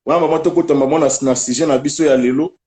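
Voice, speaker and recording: Mellow-voiced interview host. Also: male voice, a little high, fairly even intonation, quick pace. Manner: switching between French and Lingala. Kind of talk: casual live interview.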